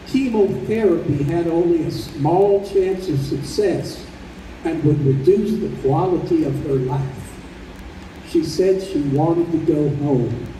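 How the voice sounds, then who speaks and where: A man performing as the measured narrator of an old time radio drama, telling a sentimental story. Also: on the high side, warm tone. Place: convention hall stage. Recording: roomy live stage recording, distant, faint noise.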